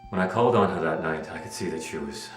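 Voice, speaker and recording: An actor playing a shaken, grieving gentleman lover in an audio drama, with a halting, emotional delivery. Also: low voice, roomy sound, faint music behind, lightly acted. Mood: grieving, confessional. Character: Parker Raleigh, grieving secret lover, shaken career man.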